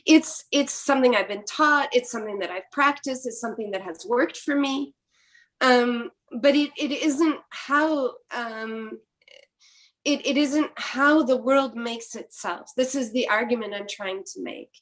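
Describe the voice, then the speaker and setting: A woman's voice: fairly high pitch, unhurried. A middle-aged woman from Quebec whose first language is French, speaking thoughtfully with many restarts in an academic panel discussion. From Quebec, Canada. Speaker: philosopher panelist.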